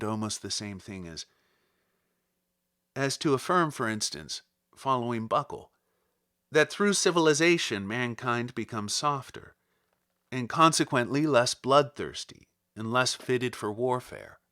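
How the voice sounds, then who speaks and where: A man reads a literary first-person monologue as an audiobook narrator. His voice sits at a middle pitch. He phrases things deliberately and rhetorically, leaning on key words with formal diction. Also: sharp tone. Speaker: audiobook reader.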